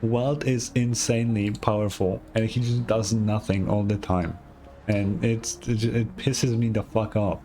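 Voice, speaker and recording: Give me a blunt, casual male game streamer with a low voice and faint music behind him. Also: fairly even intonation, measured pace, dark tone. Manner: blunt, sweary. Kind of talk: casual live game commentary.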